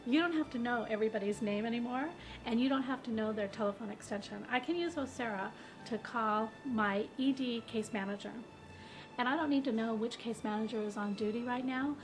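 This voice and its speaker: A woman's voice: fairly high pitch, brisk, over music. A woman who works in a hospital, explaining things in a testimonial interview. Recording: clearly noisy hospital background.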